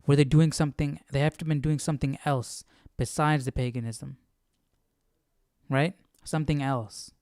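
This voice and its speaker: A man hosting a casual Bible study, reading aloud and commenting as he questions himself and thinks out loud. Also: a little high, fairly even intonation, moderate pace, no room sound, studio quality. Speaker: host of a Bible study stream.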